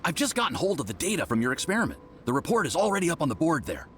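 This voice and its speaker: A man voicing an earnest, bookish young research assistant in an anime game, animated and scholarly. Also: a little high, very fast, heavy background noise. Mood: eager, earnest.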